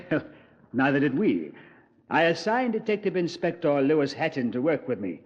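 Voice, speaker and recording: Composed British police commander, muffled. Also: male voice, fairly high pitch, sing-song, moderate pace. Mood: composed, recounting. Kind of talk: 1950s radio drama, conversational narration. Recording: muffled vintage radio recording.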